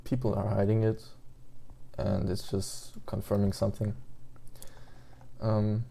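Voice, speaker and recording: A man's voice: on the low side, completely flat delivery, unhurried, gravelly, dark tone. A man in his twenties with a German accent, just out of bed, rambling casually about his language study with lots of fillers and restarts. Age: 20s. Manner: meandering, hesitant, many fillers and restarts. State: just woke up. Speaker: language learner vlogger.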